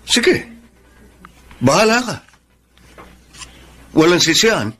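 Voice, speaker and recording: An actor playing a pushy young Filipino boyfriend, insistent and petulant, in a radio drama. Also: male voice, sits high, very animated, slow pace, sharp tone, slight background noise, heavily acted. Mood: sulky. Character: pushy young boyfriend, pressuring his sweetheart.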